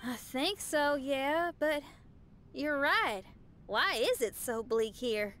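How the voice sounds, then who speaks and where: Casting: adventurous young musician for a video game, high and animated, upbeat, breezy delivery. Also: female voice, slow, heavily acted. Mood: puzzled. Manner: breezy, enthusiastic.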